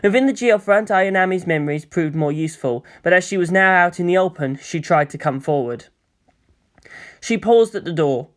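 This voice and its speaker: A narrator reading fan fiction aloud, changing pitch and pace for emphasis and voicing the characters. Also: lively intonation, moderate pace.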